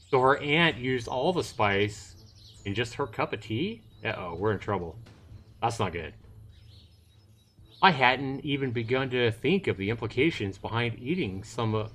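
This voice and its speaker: A man's voice: fairly low pitch, fairly bright tone. A middle-aged man narrating a visual novel on a casual livestream, mixing read text with commentary. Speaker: game streamer. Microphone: voice chat microphone.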